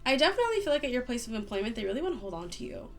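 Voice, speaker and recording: A woman reading tarot cards in a casual, upbeat monologue, a little scratchy as she gets over a cold, with many soft "um"s. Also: a little high, big pitch swings, very fast, faint music behind. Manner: soft, upbeat, lots of "um" and "kind of". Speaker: tarot card reader.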